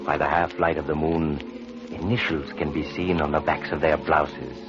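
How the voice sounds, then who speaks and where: An actor playing a sinister male host who tells a horror tale in slow, menacing 1940s radio style, heard on an old broadcast with music behind. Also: a bass voice, fairly even intonation, muffled recording. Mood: ominous. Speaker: narrator.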